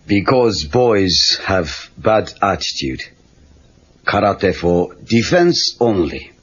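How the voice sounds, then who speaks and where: Old Okinawan karate master, Japanese accent, very low husky voice, swooping intonation, terse broken English, wry, film dialogue. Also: male voice, sing-song, drawn-out words, long pauses, a bit bright, full character voice. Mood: patient, dry. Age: older. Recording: dull lo-fi cassette tape.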